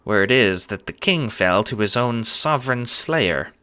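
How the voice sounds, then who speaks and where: A man voicing a small, timid, squeaky-voiced shelled farmer creature in a read-aloud story. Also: expressive, measured pace, pushed and bright, clean tone. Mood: glum.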